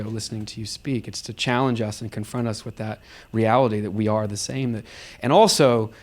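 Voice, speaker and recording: A man moderating a live audience talkback, speaking off the cuff in a reflective, explanatory way with halting phrases. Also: mid-range voice. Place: live event space with an audience.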